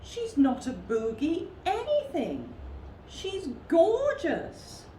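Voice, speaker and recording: A woman's voice: extremely high pitch, wildly swooping pitch, drawn-out words, warm tone, reverberant, a little hiss or hum, pitched above the performer's own voice, full character voice. A woman voicing a smitten, courteous male brown bear with floppy ears in a children's storybook, admiring and polite. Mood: admiring, apologetic.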